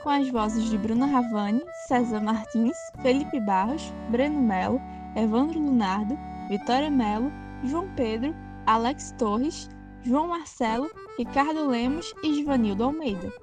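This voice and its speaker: A woman's voice: a little high, unhurried, music in the background. A woman announcer reading scripted credits for a radio drama.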